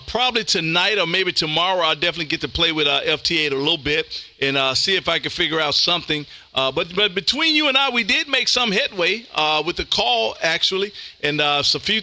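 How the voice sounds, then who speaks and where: A man's voice: fairly high pitch, sharp tone. An American man from Texas hosting an amateur radio stream with casual, folksy chat and call-sign jargon. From Texas, United States. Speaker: amateur radio livestream host.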